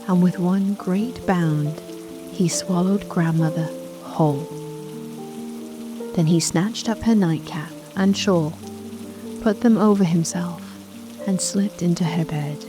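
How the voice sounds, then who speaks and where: A woman narrating a children's bedtime fairy tale in a gentle scripted storytelling style. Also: fairly low pitch. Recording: soft lullaby music and rain behind.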